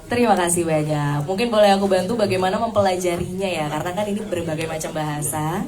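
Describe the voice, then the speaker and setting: A woman moderating a press Q&A at a film premiere, brisk and friendly, calling on people to ask questions. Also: on the low side, crowd noise. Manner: brisk and friendly, joking aside. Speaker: event host. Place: premiere event hall with audience.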